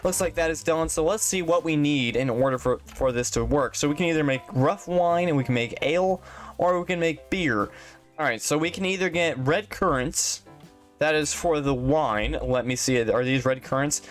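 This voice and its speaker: A congested man giving casual, rambling commentary as he plays a game, thinking aloud and often unsure. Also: a little high. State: congested from allergies. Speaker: gaming channel host.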